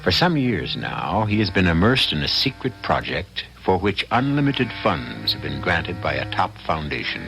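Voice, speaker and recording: Casting: American male radio host, deep voice, expressive swings, theatrical mystery narration over music. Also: normal speed, vocal fry, few pauses, poor audio. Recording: old radio broadcast.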